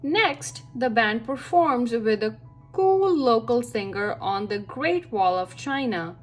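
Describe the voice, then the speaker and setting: Slow, lively woman reading to children. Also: fairly high pitch, soft background music. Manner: expressive, deliberate phrasing for young listeners. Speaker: read-aloud storyteller for children. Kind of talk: children's picture book read-aloud.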